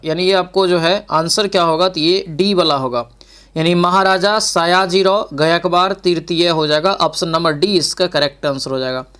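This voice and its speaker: A man's voice: high voice, a bit bright. A man giving a spoken quiz lesson as an exam coach, reading out questions and repeating answers directly to his learners.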